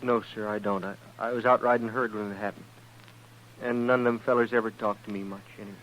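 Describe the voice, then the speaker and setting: A man's voice: fairly low pitch, flat delivery, quick pace, a bit bright, natural voice. A man playing a meek, resigned cowhand with a rural Western drawl, hesitant and deferential, in a radio western. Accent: American rural Western drawl. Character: meek, resigned drifter cowhand under accusation. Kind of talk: 1950s radio western acting. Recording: noisy old radio recording.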